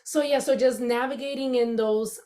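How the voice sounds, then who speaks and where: A woman's voice: fairly high pitch, fairly even intonation, moderate pace, dark tone. A woman from the Dominican Republic with a Dominican accent, a podcast guest speaking candidly and reflectively in a casual conversation. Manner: candid, reflective, with many "you know" fillers.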